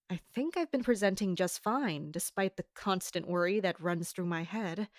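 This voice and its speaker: Woman acting as a guard captain, dutiful and wary, measured formal delivery, audio drama narration, close dry sound. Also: on the low side, darkish tone, no echo, lightly acted. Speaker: narrator and lead character. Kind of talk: scripted first-person audio drama narration.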